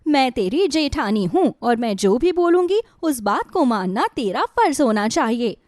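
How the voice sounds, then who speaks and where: A woman plays a cruel young elder sister-in-law from a moral storybook, high-pitched and swooping. She talks down in a bossy, scornful, taunting way, in a close, dry sound. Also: big pitch swings, measured pace, darkish tone, dry recording. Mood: haughty, commanding. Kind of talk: dramatized Hindi moral storybook.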